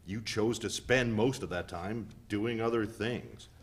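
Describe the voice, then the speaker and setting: A man in a dramatic play reading, playing a hurt, defensive partner who speaks in a guarded, deliberate way. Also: lowish voice, lightly acted. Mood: accusing, defensive.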